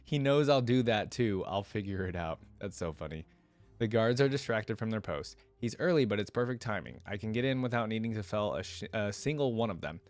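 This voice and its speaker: Male reaction host, lowish voice, reads narrative prose aloud with informal, slangy commentary. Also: animated, brisk, a little mellow. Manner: casual, informal asides with slang. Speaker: reaction video host reading a story aloud.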